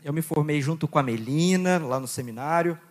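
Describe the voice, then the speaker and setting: Young man, goiás accent, youth preacher, slightly high voice, chatty then hushed prayer. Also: brisk. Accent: Goiás Brazilian Portuguese. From Goiás, Brazil. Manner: chatty and joking with the audience, quieter and lower when praying. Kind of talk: sermon with Bible reading and prayer.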